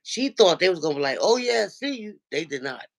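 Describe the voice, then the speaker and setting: A man with a deep, nasal voice who calls into a livestream and tells a story, acting out what other people said. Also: brisk, gravelly. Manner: animated storyteller, acts out quoted dialogue. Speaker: regular viewer calling into a livestream. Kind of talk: casual chat, retelling an online argument.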